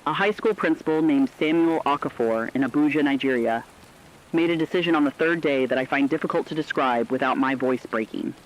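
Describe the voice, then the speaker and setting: A man's voice: mid-range voice, brisk, a little hiss or hum, close to an everyday voice. Man as an earnest radio correspondent, slightly dull sound. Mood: solemn, moved. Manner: earnest, solemn storytelling. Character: earnest male radio correspondent telling human stories of crisis. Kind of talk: scripted narrative radio reporting.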